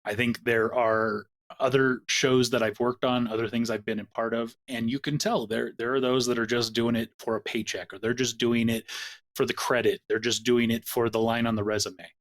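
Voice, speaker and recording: A man's voice: medium pitch, level tone, moderate pace. A man, the producer of an audio drama, answering interview questions in an earnest, reflective way.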